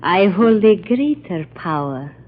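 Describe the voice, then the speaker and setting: An actress playing a proud, threatening mystic villainess with a breathy voice in an old radio thriller. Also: female voice, fairly low pitch, sing-song, exaggerated voice. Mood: proud menace. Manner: slow, intense, threatening. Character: menacing mystic woman villain, proud and defiant. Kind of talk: 1930s-40s radio drama acting. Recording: muffled old radio recording.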